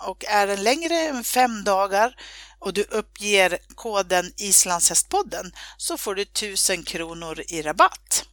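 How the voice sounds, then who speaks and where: A woman giving a smooth, scripted read of a promotional ad. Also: medium pitch, fairly bright tone, no room sound. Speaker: ad reader.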